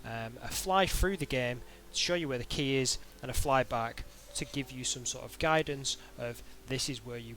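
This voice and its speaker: A man narrating an informal software tutorial in a hesitant, halting way, as if thinking aloud. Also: medium pitch. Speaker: tutorial instructor.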